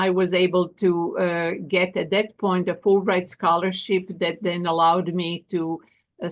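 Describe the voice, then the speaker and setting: A middle-aged woman from Croatia, a professor being interviewed, speaking with many pauses and fillers. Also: lowish voice. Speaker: guest, professor and dean. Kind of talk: interview conversation.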